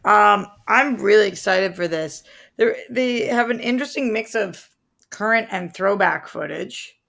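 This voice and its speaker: Woman, podcast co-host, strong vocal fry, casual chat, slangy, conversational. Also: medium pitch, measured pace, a bit bright. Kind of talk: casual pop culture chat.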